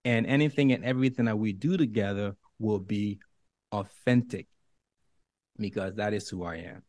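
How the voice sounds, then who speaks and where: A man's voice: lowish voice, moderate pace, darkish tone, dry recording, clean studio sound. A man from Liberia speaking as an interview guest in an earnest, self-assured, emphatic motivational way. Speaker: interview guest, professional dancer and author.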